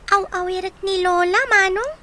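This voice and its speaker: A woman's voice: extremely high pitch, steady tone, moderate pace, pushed and bright, a little hiss or hum. A woman playing a curious, caring young child in a radio drama, earnest and full of questions. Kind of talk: Ilocano radio drama acting.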